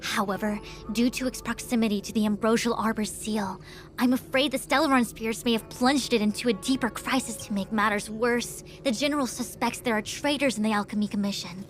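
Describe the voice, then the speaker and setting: A woman playing a composed, self-assured young diviner in a video game, speaking in a formal, measured, authoritative way. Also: a little high, faint music behind, natural voice. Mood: grave, businesslike. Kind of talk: scripted video game dialogue.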